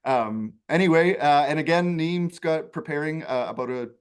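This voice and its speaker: A man's voice: on the high side, normal speed, clean tone. A man hosting a farming webinar, introducing things in an informal, self-deprecating way. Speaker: host and moderator of a farming webinar. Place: online webinar call.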